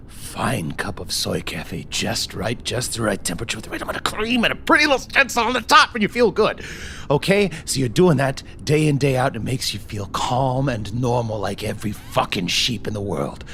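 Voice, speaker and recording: A man playing a manic, jittery shadowrunner in a tabletop game, rambling and cursing, swinging between hushed menace and outbursts. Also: medium pitch, a little hiss or hum. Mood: intense, unhinged. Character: manic, trigger-happy coffee-making shadowrunner man, jittery and volatile. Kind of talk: improvised tabletop roleplay.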